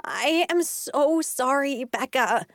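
A woman's voice: high-pitched, lively intonation, measured pace, fairly bright tone, no echo, clean studio sound, voice pushed up. A woman playing a needy, pushy schoolgirl in a children's audiobook, insistent and pleading.

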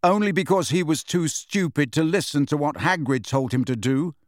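A man's voice: on the high side, normal speed, no room sound, clean studio sound, lightly acted. A man voicing an angry teenage boy wizard in his own near-normal voice.

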